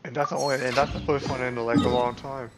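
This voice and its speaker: A man gives casual game commentary on a livestream. He rambles and halts as he thinks aloud, and loud game music runs behind him. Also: medium pitch, little pitch movement, fast talker.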